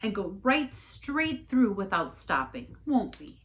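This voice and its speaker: Woman doing a brisk exasperated storybook mom, firm and insistent, really lively pitch. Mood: weary, firm. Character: firm, exasperated mother directing a church pageant.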